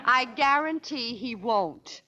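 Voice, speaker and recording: A breezy, scheming wife who bosses her husband in an old radio comedy. Her high voice swoops widely with coy, theatrical airs. The recording is muffled and hissy. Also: animated, slow. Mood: bossy, amused. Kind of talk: 1940s radio situation comedy acting.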